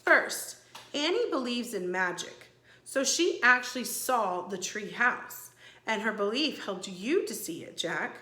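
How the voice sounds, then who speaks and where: Woman as a gracious old enchantress, lively intonation. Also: mid-range voice, echoey room. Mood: kindly, explaining. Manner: measured, gracious, precise.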